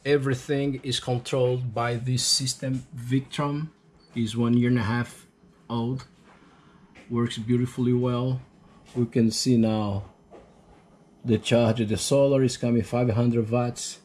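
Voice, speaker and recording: A man's voice: medium pitch, level tone, darkish tone. A man with a Brazilian Portuguese accent, a non-native English speaker, showing his catamaran on a handheld camera walkthrough. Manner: slow, halting, proud, shows each item and lists features. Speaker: boat owner giving a tour. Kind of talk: walkthrough tour of a sailing catamaran. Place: on board a catamaran, on deck and in the cabin. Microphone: handheld action camera.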